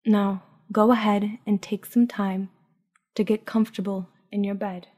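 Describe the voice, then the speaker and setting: A woman leads a guided meditation for sleep with a slightly low, mellow voice. Her intonation stays flat and even, and she speaks in a hushed, soothing way. She takes her time, leaving long pauses between scripted phrases.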